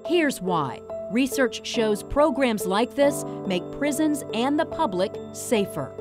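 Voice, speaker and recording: A woman's voice: fairly high pitch, animated, slow pace, over music. An American woman reading scripted news documentary narration as a TV reporter.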